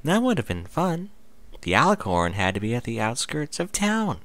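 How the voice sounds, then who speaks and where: A man voicing a merry, excitable young female pony in a read-aloud story, with playful, exclaiming delivery. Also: mid-range voice, moderate pace, no echo, voice pushed up. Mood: merry, excited.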